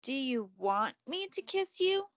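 A woman's voice: sits high, sing-song, unhurried, a bit bright, no room sound, exaggerated voice. A woman voicing a playful young girl in a storybook, matter-of-fact and full of questions.

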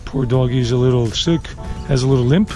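A man's voice: medium pitch, quick pace, darkish tone, faint music behind. A man of forty-nine chatting casually and thinking aloud as he hosts a walking vlog outdoors on a city street. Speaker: travel vlogger and walking tour host. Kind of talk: casual walking commentary to viewers. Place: outdoors, walking a leafy urban residential street.

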